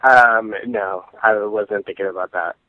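A man's voice: on the low side, fairly even intonation, measured pace, no room sound. A man co-hosting a podcast, chatting casually in a hesitant way, with frequent ums. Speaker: podcast co-host. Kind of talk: casual chat. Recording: dry, tinny phone-like line.

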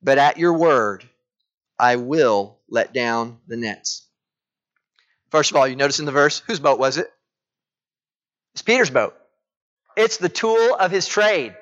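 A man's voice: medium pitch, lively intonation, measured pace, long pauses, a bit bright. A man preaching a sermon as a pastor, telling personal stories and asking the congregation rhetorical questions. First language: English. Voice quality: conversational storytelling with rhetorical questions. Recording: dull lo-fi recording.

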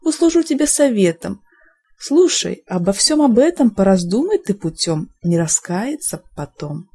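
A woman voicing a gentle, graceful young swan maiden in a verse fairy tale, speaking tenderly. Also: medium pitch, sing-song, normal speed, slightly rough. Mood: gentle advising.

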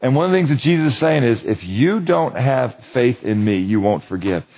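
A man's voice: medium pitch, animated, moderate pace, lo-fi sound. A man leading a men's Bible study, preaching in a conversational, emphatic way and often checking that listeners agree. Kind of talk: sermon.

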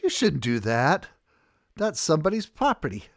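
A man doing a folksy, higher-pitched dock worker. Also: wildly swooping pitch, normal speed, dry recording, studio quality. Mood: earnest. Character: simple, friendly male loading-dock worker. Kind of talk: audiobook character dialogue.

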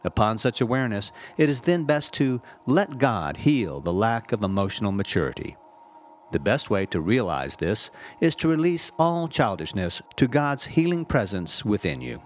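A man reading a scripted inspirational message as a reverend, in a measured, earnest and carefully phrased way. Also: on the low side, very animated, a little hiss or hum. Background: background music.

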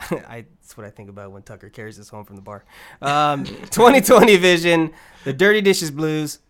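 Lively, giggly man in banter. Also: fairly high pitch, moderate pace. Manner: giggly, self-mocking banter. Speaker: podcast guest. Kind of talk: casual chat.

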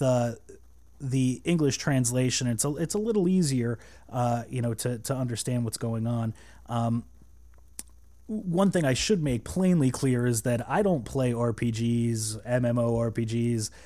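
A man's voice: mid-range voice, warm tone. A man hosting a casual podcast, rambling with many fillers and restarts, a little nervous, on a cheap microphone. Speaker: podcast host.

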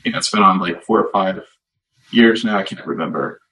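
A man's voice: deep voice, brisk, sharp tone, rough recording. A man co-hosting a podcast in casual chat, rambling with many fillers and restarts. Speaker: podcast co-host.

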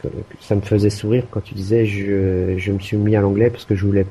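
A man chatting casually about learning a language, hesitant, with many restarts and fillers and the odd throat clearing. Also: fairly low pitch, flat delivery, brisk, warm tone. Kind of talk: casual conversation.

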